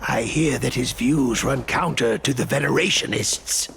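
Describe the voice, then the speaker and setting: A man's voice: mid-range voice, moderate pace, creaky, fairly bright tone, slight background noise. A man playing a dogmatic, indignant scholar in a video game, emphatic and disapproving.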